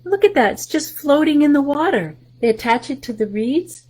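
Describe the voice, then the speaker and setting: A woman hosting a library story time, reading a picture book aloud to children and explaining the pictures in a gentle voice that often drops to a soft whisper. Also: high voice, expressive, moderate pace.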